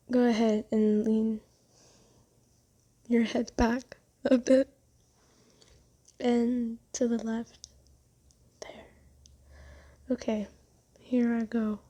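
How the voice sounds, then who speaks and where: A woman playing a kind, doting, genteel vampire in an ASMR roleplay, speaking softly and soothingly with teasing endearments. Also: on the high side. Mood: soothing, coaxing.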